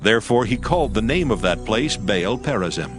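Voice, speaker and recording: A man narrating a dramatized scripture audiobook in a solemn, measured storytelling manner. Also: fairly low pitch, lively intonation, over music.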